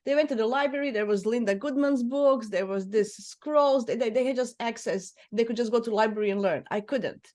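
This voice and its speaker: A woman's voice: a little high, brisk, hardly any pauses, darkish tone. A middle-aged woman from Croatia with a Croatian accent, a native Croatian speaker, talking casually as an astrologer being interviewed, often restarting and correcting herself. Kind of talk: casual chat.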